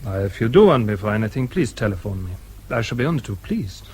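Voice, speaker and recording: An actor playing an urbane, doubting gentleman in a British radio drama, with a measured, politely condescending manner. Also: fairly low pitch, sing-song, slight background noise. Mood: courteous, cautioning. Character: urbane, sceptical English gentleman, courteous but doubting.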